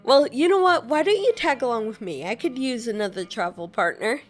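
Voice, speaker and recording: A woman's voice: on the high side, lively intonation, a little fast. A woman playfully voicing her own character while playing a game, teasing and giggly. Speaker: gameplay narrator voicing the player character. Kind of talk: casual role-play while playing a game.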